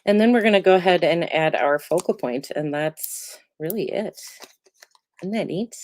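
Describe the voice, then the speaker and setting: Low-voiced crafter with a cold. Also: female voice, normal speed. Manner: chatty, relaxed, many ums, talks while working. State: congested, getting over a cold. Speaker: card-making demonstrator. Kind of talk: casual crafting tutorial.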